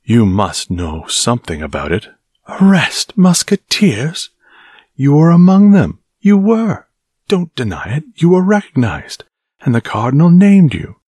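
A furious musketeer captain rants and scolds his soldiers, as a man puts him on. The voice sits very deep, and the recording is dry and close. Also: animated, unhurried, breathy edge, no room sound, exaggerated voice. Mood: accusing. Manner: scolding, shouting. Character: angry middle-aged male captain of musketeers.

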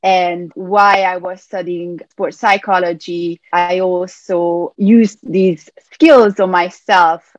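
A lower-voiced woman talks earnestly about her coaching work and explains things step by step. The sound is dry and a little dull, as if over a remote line. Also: no room sound. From Hungary. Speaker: mental performance coach, guest. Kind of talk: interview answers.

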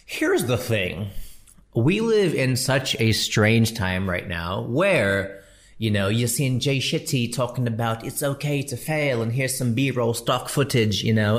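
A man who hosts a podcast and does comedy, giving casual, slangy advice to a listener and laughing at his own jokes. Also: lowish voice. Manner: chatty and slangy, calls listener bro and dude.

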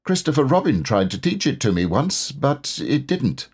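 A man voicing a dreamy, absent-minded bear in a storybook, speaking in a hesitant, vague, drawn-out way. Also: medium pitch, very animated, husky, pitched above the performer's own voice. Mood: dreamy, puzzled.

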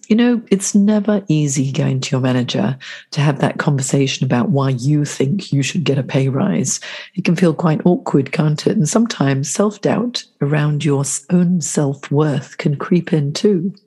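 A woman's voice: low voice, dark tone, slightly rough. A middle-aged woman hosting a solo advice podcast, sounding congested and throaty from the flu, talking in a chatty, coaching way that asks the listener questions. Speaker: podcast host.

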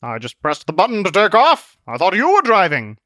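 A man's voice: high-pitched, wildly swooping pitch, fairly quick, bright, forceful tone, no room sound, clean studio sound, voice pushed up, full character voice. A man voicing an exasperated, incredulous male companion in an audiobook, earnest and indignant. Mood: panicked, indignant. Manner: indignant, questioning. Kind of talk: audiobook character voice.

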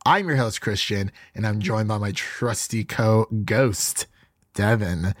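A man hosting a podcast in casual chat, his voice raspy and stuffy from allergies, rambling with restarts. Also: fairly low pitch, slow pace, no echo, studio quality. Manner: chatty, rambling with frequent restarts. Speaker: podcast host.

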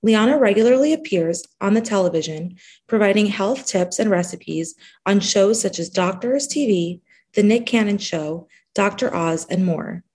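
A woman narrating a scripted podcast introduction in a measured way. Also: medium pitch, darkish tone, slightly rough. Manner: measured, reading a guest introduction.

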